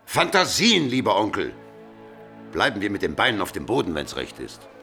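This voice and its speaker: An actor playing a proud, impatient medieval emperor in a German radio drama, speaking in an indignant, imperious manner. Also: male voice, lowish voice, huge pitch swings, normal speed, creaky, pushed and bright, faint music behind, full character voice. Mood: skeptical. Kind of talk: German radio play acting.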